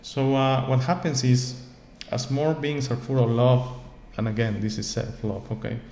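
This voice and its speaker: A man's voice: medium pitch, flat delivery, normal speed, mellow. A man who is not a native English speaker hosts his own show, giving an earnest, rambling spiritual talk full of repeated words and checks like "okay?". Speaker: host. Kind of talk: spiritual monologue to camera. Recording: echoey room with faint ambient noise.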